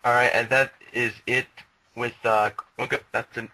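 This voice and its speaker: A man hosting an interview podcast, unhurried, restarting his lines and clearing his throat. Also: mid-range voice, flat delivery, bright, forceful tone, slight background noise, low-quality recording. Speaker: podcast host.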